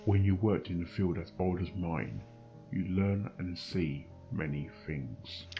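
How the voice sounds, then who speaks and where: Man acting a low, haunted pony doctor. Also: takes it slowly, vocal fry, highs cut off, full character voice. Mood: haunted, grave. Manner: grave, dramatic. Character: haunted, weary male pony doctor investigator. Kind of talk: amateur audio drama fan reading. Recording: prominent guitar music behind voice.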